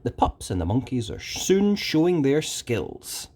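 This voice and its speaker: A storyteller reading a children's book aloud in an expressive, dramatic way with deliberate emphasis. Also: medium pitch, husky. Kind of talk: animated scripted children's story narration.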